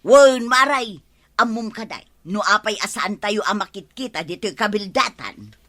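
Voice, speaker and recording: A woman's voice: mid-range voice, expressive, normal speed, a bit bright. A woman playing a teasing village gossip in an Ilocano radio comedy drama, with an Ilocano accent and exaggerated, taunting delivery. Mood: amused, insinuating.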